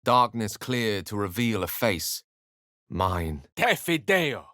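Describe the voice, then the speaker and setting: An actor playing a scholarly, eloquent wizard companion in a video game, with an articulate, theatrical manner. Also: male voice, lowish voice, sing-song, takes it slowly, bright, forceful tone, dry recording, clean studio sound, exaggerated voice. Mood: urgent, incantatory. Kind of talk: video game character acting and narration.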